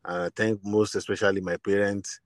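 A man's voice: on the low side, flat delivery, brisk, dry recording, studio quality. A man from Nigeria, a guest musician, answering hesitantly with many fillers. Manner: hesitant, filler-heavy speech. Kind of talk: interview answers and singing.

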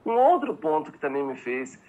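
A man's voice: on the high side, sing-song, normal speed, mellow, highs cut off. A man from Bahia, Brazil, answering interview questions as an expert guest, eager and explanatory, often checking in with tag questions.

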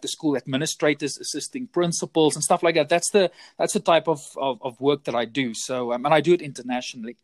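A man's voice: fairly high pitch, no echo. A South African man whose first language is Afrikaans, talking as a podcast guest, chatty and often repeating words as he restarts. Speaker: guest, teacher trainer. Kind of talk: casual podcast interview.

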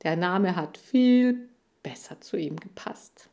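A woman's voice: lowish voice, animated, slow pace, dark tone. A woman voicing a grumpy, disdainful male hamster in a children's story, dry and grumbling.

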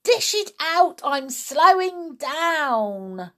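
A woman voicing an ancient, scrawny grandma in a children's story, gleeful and bossy, with a screechy, shouting voice. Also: very high voice, lively intonation, very slow, voice pushed up, full character voice. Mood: bossy, excited. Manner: shouting, exclaiming.

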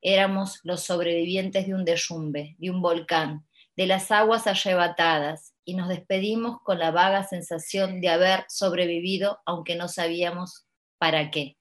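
A woman co-hosting a radio show, reading a poem slowly and intimately. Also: fairly low pitch, fairly even intonation. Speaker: co-host reading poetry. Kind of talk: slow poetry reading.

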